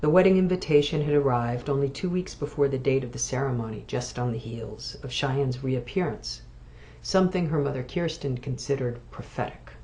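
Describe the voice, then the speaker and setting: A woman author reading literary fiction aloud, measured and expressive. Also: rumbling low, a little hiss or hum. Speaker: author reading from own novel.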